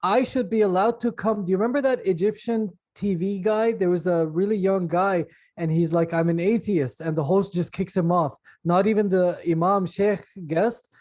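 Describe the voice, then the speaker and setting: A man from the United States, a podcast co-host telling stories in casual chat, animated and jokey. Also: sits high, moderate pace, few pauses.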